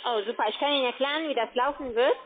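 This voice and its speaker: A woman giving a telephone sales pitch as a call-centre account manager. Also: racing. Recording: tinny narrowband phone line.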